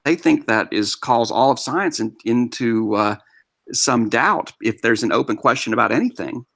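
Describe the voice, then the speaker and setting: A man's voice: mid-range voice, lively intonation, normal speed, vocal fry, a bit bright, slight background noise. A man explaining ideas eagerly in a casual online discussion. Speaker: debate guest.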